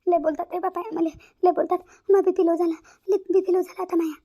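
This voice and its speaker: A woman's voice: extremely high pitch, flat delivery, a little fast, dark tone, dull audio, a little hiss or hum. A woman voicing a melodramatic, self-pitying older mother-in-law in a cartoon family drama, pleading and repeating her complaints in a wail. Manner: wailing, pleading, repetitive.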